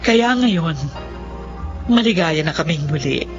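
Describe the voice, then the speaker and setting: A woman's voice: lowish voice, animated, takes it slowly. An actress playing a remorseful, hot-tempered middle-aged mother in a Filipino radio drama, with a Filipino accent. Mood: reflective. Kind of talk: Filipino radio drama acting and first-person narration. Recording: dull lo-fi radio sound, music underneath.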